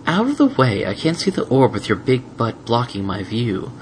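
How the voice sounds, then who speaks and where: A man's voice: medium pitch, wildly swooping pitch, moderate pace, a little hiss or hum. A man voicing a haughty royal female pony, imperious and irritated, in a storybook reading. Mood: impatient.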